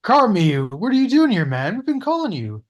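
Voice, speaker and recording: Lively man reading a pushy in-law. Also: sits high, moderate pace, lo-fi sound. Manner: lively, pushy, friendly lines. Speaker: cast member at a table read. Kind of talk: casual table read performance.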